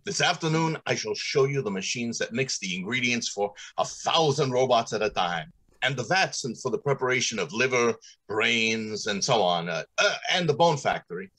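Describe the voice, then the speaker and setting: Male, middle-aged, acting assured radio-play industrialist, emphatic and explanatory, husky voice with wide swings. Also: mid-range voice, lively intonation, moderate pace, fairly bright tone. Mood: eager, proud. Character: confident, talkative robot factory manager. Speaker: actor. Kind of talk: old-time radio drama acting. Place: small indoor room on a video call.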